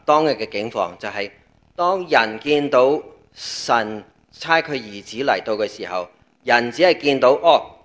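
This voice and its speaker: A man with a Cantonese accent preaching a sermon in a conversational way, pausing in short phrases for an interpreter. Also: medium pitch, a bit bright.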